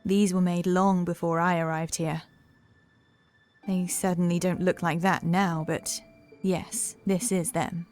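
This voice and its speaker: A woman's voice: on the low side, flat delivery, a little slow, a little mellow, soft background music, lightly acted. Woman acting a genteel, insistent Gothic housekeeper. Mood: calm, amused. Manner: polite, genteel, proper phrasing. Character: gracious, insistent housekeeper in a Gothic horror tale. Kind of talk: acted audiobook dialogue.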